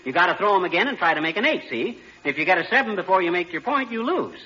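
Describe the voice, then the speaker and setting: An American man playing a brash, folksy middle-aged husband and fast-talking schemer in 1940s radio comedy style. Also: sits high, expressive, pushed and bright, husky, exaggerated voice. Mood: instructing. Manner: folksy, slangy, swooping delivery. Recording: dull, noisy old 1940s radio recording.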